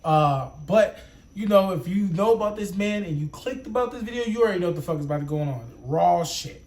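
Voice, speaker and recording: A young man with a slightly high voice hosts his reaction channel in a rambling, hyped way. He swears casually and leans on street slang as he talks to his audience. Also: expressive, fairly quick, darkish tone. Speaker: YouTube reaction host. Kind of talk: casual vlog intro.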